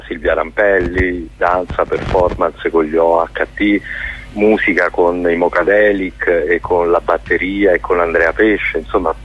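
A man speaking as a guest in a radio interview, talking in a halting way with many fillers and restarts. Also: low-pitched, little pitch movement. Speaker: festival director guest. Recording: dull lo-fi radio sound.

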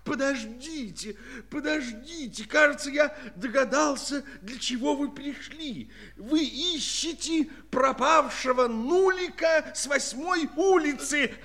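A man playing a fussy, excitable institute director in a children's audio play, politely hesitant in his talk. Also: very high-pitched, slow pace, exaggerated voice. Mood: amused, excited. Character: fussy male director of a research institute of numbers.

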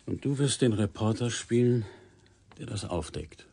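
A man playing a sober, patient newspaper editor in a radio drama, speaking in a measured, matter-of-fact way. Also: on the low side, lively intonation, warm tone, breathy edge, exaggerated voice. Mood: probing, dry.

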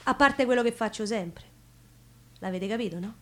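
Actress playing a high-voiced Roman storyteller woman. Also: normal speed, close to an everyday voice. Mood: chatty, wry. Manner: colloquial, rambling, addressing the audience. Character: chatty Roman woman storyteller, wistful and guarded. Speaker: stage actress. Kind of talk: theatrical monologue in dialect.

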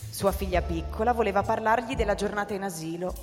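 Female narrator, measured literary storytelling, radio drama, hall reverb, music bed. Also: medium pitch.

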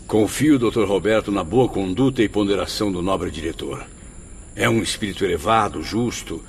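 A man's voice: low-pitched, normal speed. A man playing a stern, grave spirit patient in a radio drama, speaking in an emphatic, formal, declamatory way. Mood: confident. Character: stern, grave male spirit patient, judgemental yet trusting. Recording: long hall reverb, faint noise.

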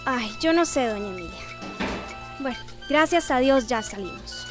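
High-voiced young Central American housemaid. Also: female voice, animated, normal speed, over music. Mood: resigned, hopeful. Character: humble, plain-spoken, hopeful young housemaid. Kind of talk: radio soap opera acting.

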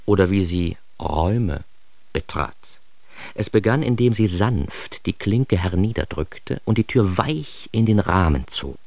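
A man's voice: sits low, animated, unhurried, creaky, darkish tone, dry recording, exaggerated voice. An actor playing an ironic, self-important man who narrates his childhood in a radio drama, with wry, mock-grandiose emphasis. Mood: wry admiration. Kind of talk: scripted first-person radio drama narration.

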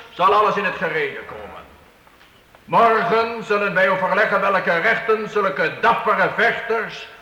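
Commanding medieval count in echoing hall. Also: male voice, high voice, pushed and bright, lo-fi sound, slight background noise, full character voice. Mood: proud, gracious. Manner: solemn, authoritative, formal. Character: stern, commanding medieval count passing judgment. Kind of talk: Dutch radio play acting. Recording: reverberant hall, old radio play.

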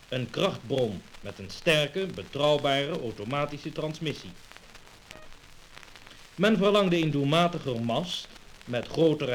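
A man reading scripted mid-century promotional narration in a formal, measured way. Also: medium pitch. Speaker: narrator of an industrial promotional film. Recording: noisy old lacquer test disc.

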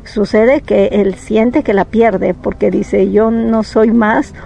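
A woman's voice: mid-range voice, steady tone, takes it slowly, vocal fry, warm tone, a little hiss or hum. A woman from Peru, a psychologist, explaining in an interview in a reflective way, often checking in with tag questions. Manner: reflective, thinks aloud with many tag questions.

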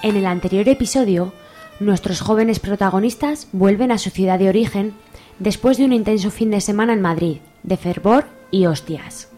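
A woman's voice: medium pitch, normal speed, mellow, faint music behind. A woman with a Spanish accent, reading scripted narration for a radio soap opera.

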